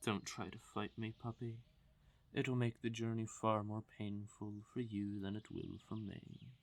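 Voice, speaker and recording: A man acts out a fae king of fantasy audio roleplay, smug and possessive. His voice is low and gravelly, and he takes his time with every phrase. He speaks intimately to the listener, teasing and commanding with a silky menace. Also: flat delivery. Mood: cold menace. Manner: slow, teasing, commanding, with drawn-out pauses.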